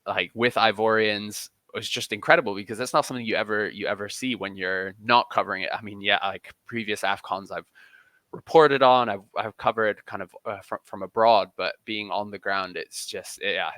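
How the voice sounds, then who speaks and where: A man's voice: fairly low pitch, steady tone, moderate pace, bright, forceful tone, dry recording. A man being interviewed as a football journalist on a casual podcast, often rephrasing as he goes.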